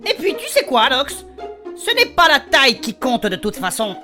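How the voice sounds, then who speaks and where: A woman playing an excitable, lovesick, whiny male adventurer who stammers and protests in a comic audio drama. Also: sits high, moderate pace, over music. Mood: indignant. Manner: stammering, protesting, repeats words. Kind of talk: comic audio fiction acting.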